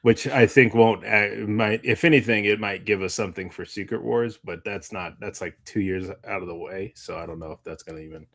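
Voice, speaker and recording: A man with a fairly low voice talks casually as a podcast co-host. He rambles in a halting way, often dropping a sentence midway and starting it again. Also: a bit bright. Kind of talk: casual chat.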